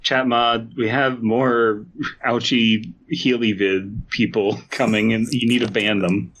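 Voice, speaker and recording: A man's voice: medium pitch, little pitch movement, takes it slowly, fairly bright tone, a little hiss or hum, poor audio. A man co-hosting a podcast, riffing in a goofy, tongue-in-cheek way between casual chat and reading aloud. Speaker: podcast co-host. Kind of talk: casual comedic chat and stumbling read-aloud.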